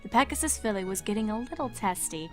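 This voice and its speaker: A woman narrates a written story in a voice of middling pitch. It is a scripted reading, and soft music runs underneath. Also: expressive, fast. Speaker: fanfic narrator.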